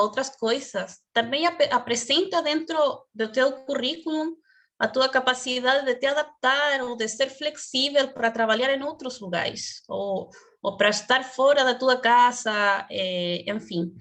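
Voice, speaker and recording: A woman speaking as a guest in a career webinar over a video call, explaining in a measured way and stopping now and then to search for words. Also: a little high, fairly bright tone.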